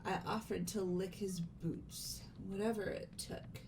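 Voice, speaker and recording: Woman acting a composed, formal young lady, slow-paced. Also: mid-range voice, fairly even intonation, a little mellow, slight background noise, natural voice, rough recording. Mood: grave, formal. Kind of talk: dramatic video game voice acting.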